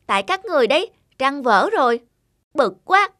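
A woman voicing an excitable young child monkey in a children's cartoon, with a childlike, exclaiming delivery. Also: sits high, moderate pace, background noise. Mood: indignant, squabbling. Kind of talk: animated children's fairy tale acting.

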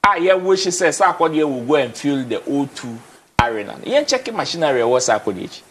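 A man's voice: on the high side, big pitch swings, a little fast, fairly bright tone, a little hiss or hum. A young Ghanaian man with a Ghanaian accent, talking as a guest in a TV studio, switching between Twi and English and repeating words as he drives his points home. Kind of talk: casual TV studio discussion. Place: TV studio, indoors.

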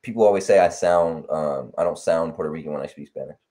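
A young man from Los Angeles in casual chat on a video call, relaxed and rambling with lots of fillers. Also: extremely low pitch, fast talker. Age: young adult. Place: home room on video call. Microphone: headset on video call.

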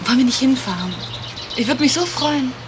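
A woman's voice: high-pitched, expressive, brisk. A woman playing a coaxing, pert young fiancée in a 1970s radio crime drama. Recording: noisy vintage radio play recording.

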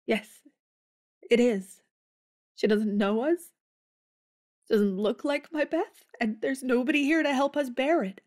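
A woman's voice: sits high, takes it slowly, long pauses, darkish tone. A woman playing Jo, a spirited young woman anguished over her sick sister, in an audio drama. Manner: anguished, tearful.

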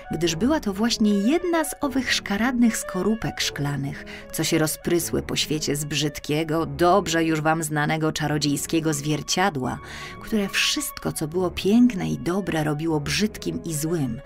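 A woman narrating a children's fairy tale as an audiobook reader, expressive and deliberate, over music. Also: low voice.

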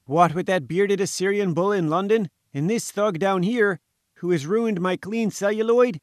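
Man putting on a plaintive, high-pitched little reporter. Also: moderate pace, dry recording, studio quality, lightly acted. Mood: rueful, protesting. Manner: sputtering, bleating protest. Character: plaintive, indignant fat little male newspaper reporter.

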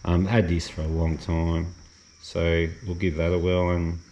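A man's voice: low-pitched, monotone, a little slow, darkish tone, a little hiss or hum. A middle-aged Australian man casually walking through his fishing gear in a garage, rambling with lots of ums and restarts. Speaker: fishing vlogger. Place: garage or shed, indoors.

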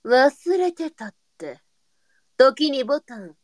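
A woman acting a brothel madam, high voice, brisk, shrewd, sharp-tongued, period audio drama, dry sound. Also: sing-song, dry recording, full character voice. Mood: brusque, exasperated. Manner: blunt, switches to formal politeness with guests.

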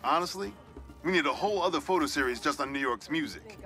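Animated, bright-voiced folksy older newspaperman. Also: fairly high pitch, fast, faint music behind. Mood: fond, proud. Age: middle-aged. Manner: folksy, wry. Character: warm, proud older newspaperman who loves his city. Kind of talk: video game voice acting, spoken commentary.

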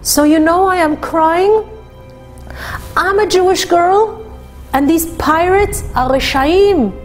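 A woman's voice: very high voice, takes it slowly, faint music behind, voice pushed up. Woman doing a frightened young girl. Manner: pleading, tearful. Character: frightened twelve-year-old girl captive.

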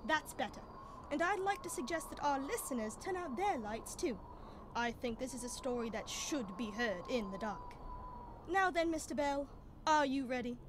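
High-voiced genial Doctor Watson, played by a woman. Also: lively intonation, normal speed, noisy background. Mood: teasing, genial. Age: young adult. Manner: chatty, polite, storytelling. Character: Doctor Watson, genial male storyteller and companion. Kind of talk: 1930s-style radio drama acting.

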